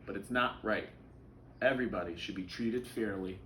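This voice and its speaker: A man voicing a patient, earnest storybook mother who explains things in a gently instructive way. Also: fairly low pitch, normal speed, roomy sound, a little hiss or hum.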